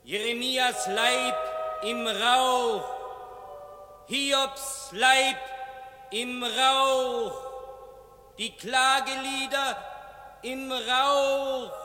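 An actor voicing a solemn, wailing voice of lament, declaimed like an incantation in a poetic radio drama. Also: male voice, very high-pitched, fairly even intonation, very slow, pauses often, music in the background, full character voice. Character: solemn wailing voice of lament over death and smoke. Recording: echoing hall with long reverb.

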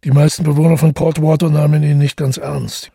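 An actor playing a withdrawn, middle-aged widower who tells his story in a radio drama, husky and gravelly, reflective and measured. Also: fairly high pitch, level tone, mellow, dry recording, studio quality. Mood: dry, disdainful. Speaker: narrator and protagonist. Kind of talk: literary radio drama monologue.

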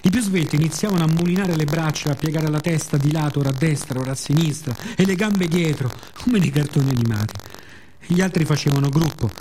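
A man's voice: a little high, quick pace, darkish tone, slight background noise. A man with a Roman Italian accent performs a scripted radio monologue in a reflective, wry, conversational storytelling manner, slipping into colloquial asides. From Rome, Italy.

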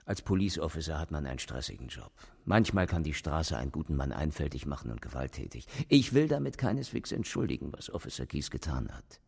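An actor playing a senior police official in a radio drama, calculating and coldly coercive in measured, formal speech. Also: male voice, a bass voice, big pitch swings, darkish tone, exaggerated voice. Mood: businesslike, persuasive. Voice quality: gravelly, husky. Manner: measured, formal, veiled threats.

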